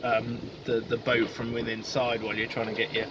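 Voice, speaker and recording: A man filming a casual video diary while sailing solo, talking in a halting way full of ums and restarts. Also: mid-range voice, monotone. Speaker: solo sailor vlogger. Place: outdoors on a small sailboat at sea. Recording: wind and boat noise. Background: wind, water and boat sounds.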